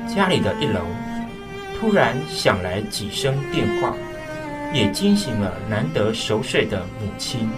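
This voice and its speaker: A man's voice: mid-range voice, very animated, far from the mic. A man playing a sorrowful eldest son, narrating a radio drama reflectively in Taiwanese-accented speech. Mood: somber. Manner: reflective, melancholy, measured. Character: sorrowful eldest son recalling his broken family. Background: clear background music.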